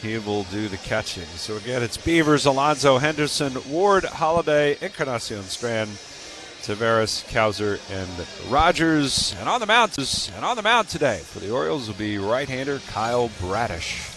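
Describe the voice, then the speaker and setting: A man's voice: medium pitch, expressive, moderate pace, a bit bright, soft background music. An American man calling a baseball game live on the radio as the play-by-play announcer. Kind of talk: live sports commentary. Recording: background stadium noise on radio broadcast.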